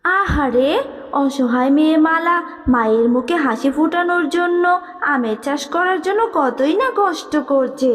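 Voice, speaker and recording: Woman as a tender, high-voiced magical helper. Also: measured pace, big-room sound, soft background music. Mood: pitying. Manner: tender, compassionate. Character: kindly magical being pitying a helpless girl. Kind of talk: cartoon fairy tale.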